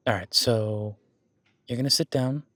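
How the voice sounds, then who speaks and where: A man acts out an easygoing male werewolf who loves the gym and supports his partner. He speaks at a medium pitch in a casual, conversational way, reassuring and rambling through his own corrections. The audio roleplay is recorded very dry, with hardly any room around the voice. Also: unhurried, fairly bright tone, no echo. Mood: casual, instructive. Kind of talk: conversational one-sided roleplay acting.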